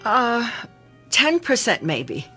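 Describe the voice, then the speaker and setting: High-voiced, anxious woman patient. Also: big pitch swings, slow, bright, forceful tone, husky, faint music behind, heavily acted. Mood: nervous. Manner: hesitant, stammering, fretful. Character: anxious, fragile female patient, easily frightened. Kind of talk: radio drama acting.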